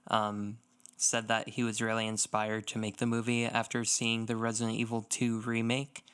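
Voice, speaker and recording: A man's voice: on the low side, completely flat delivery, normal speed, fairly bright tone, smooth. A man co-hosting a podcast, talking casually in a loose, rambling way peppered with "like". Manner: loose, rambling, says "like" often. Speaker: podcast co-host.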